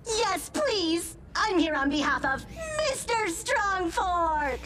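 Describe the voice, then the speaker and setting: A small, naive corporate robot in a video game. The voice is extremely high and swings brightly up and down, over-polite and eager to please. Faint noise sits behind it. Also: female voice, animated, slow, heavily acted. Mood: chirpy, eager. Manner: over-polite, literal, formal business jargon.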